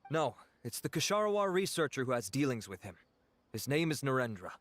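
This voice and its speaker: A man's voice: medium pitch, big pitch swings, moderate pace, fairly bright tone. A man playing a dutiful investigating officer in a video game, speaking formally and respectfully in a businesslike way. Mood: reporting, brisk.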